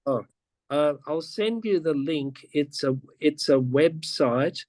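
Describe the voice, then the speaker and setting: Slow, patient male teacher on a call. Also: on the high side, expressive, darkish tone, no room sound. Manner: patient, slow, with frequent restarts and repeats. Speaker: teacher being interviewed. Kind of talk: casual explaining in an online interview. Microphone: video call.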